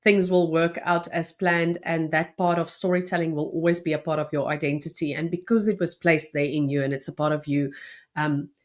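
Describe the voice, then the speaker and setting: A woman's voice: low-pitched, little pitch movement, a little fast. A woman from South Africa, an Afrikaans native speaker with a South African accent, answering interview questions earnestly with frequent restarts. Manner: earnest, with restarts and soft laughs. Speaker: podcast guest.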